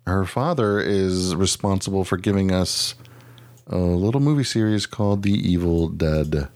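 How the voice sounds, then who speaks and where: A man's voice: low-pitched, unhurried, darkish tone. A man hosting a podcast with casual comedic banter, rambling and swearing freely as he goes. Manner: rambling, profane, easily sidetracked. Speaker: podcast host.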